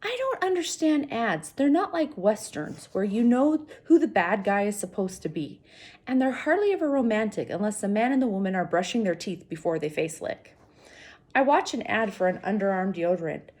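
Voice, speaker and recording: Female teacher type for a children's read-aloud. Slightly raspy voice, very varied intonation, talks straight to the kids. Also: medium pitch, very animated, moderate pace, darkish tone. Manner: addresses young listeners directly. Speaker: teacher reading aloud to students.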